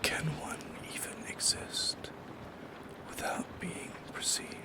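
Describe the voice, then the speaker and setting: Whispering, lonely shadow creature, voiced by an ASMR performer. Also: natural voice. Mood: wistful, musing. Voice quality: breathy whisper. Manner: slow, hesitant, philosophical musing. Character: lonely, wistful shadow creature haunting the listener at night. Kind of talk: soft-spoken ASMR monologue.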